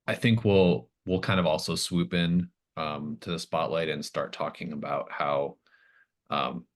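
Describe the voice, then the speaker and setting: A man's voice: very deep voice, fairly even intonation, unhurried. A man running a tabletop roleplaying game as game master, improvising narration and thinking aloud in a hesitant way.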